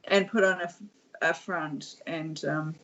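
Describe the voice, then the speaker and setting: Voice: mid-range voice, fairly even intonation, measured pace, fairly bright tone. A speaker on a livestream, earnest and rambling with many ums. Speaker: guest on a livestream. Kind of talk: casual livestream chat. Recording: dull lo-fi stream audio.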